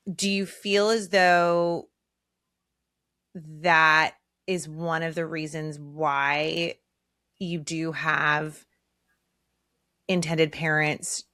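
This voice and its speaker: A woman's voice: low voice, creaky, pushed and bright, dry recording, studio quality. A woman hosting a podcast interview, engaged and affirming, framing long questions for her guests. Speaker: podcast host. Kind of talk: casual conversational interview.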